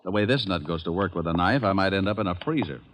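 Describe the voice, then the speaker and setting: An actor playing a wisecracking, cocky private detective in a 1940s radio drama, with wry, flippant delivery on a noisy old recording. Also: male voice, sits low, fast, lightly acted. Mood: wry, reluctant.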